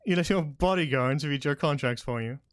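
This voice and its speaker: A man voicing a haughty aristocratic lord who speaks slowly and pompously, with a sarcastic edge. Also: a little high, clean tone, no echo, studio quality, lightly acted. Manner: slow, measured, pompous.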